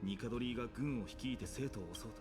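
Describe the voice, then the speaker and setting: A man's voice: mid-range voice, rapid-fire, a little mellow, lightly acted. An actor playing a serious male adventurer in scripted fantasy game dialogue. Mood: grave, focused. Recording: game music behind the voice.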